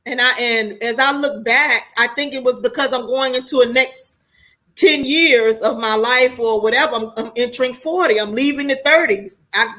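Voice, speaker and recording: High, bright-voiced American woman in her forties. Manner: candid, colloquial, preachy, swears freely. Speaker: online show host talking to viewers. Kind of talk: casual livestream monologue.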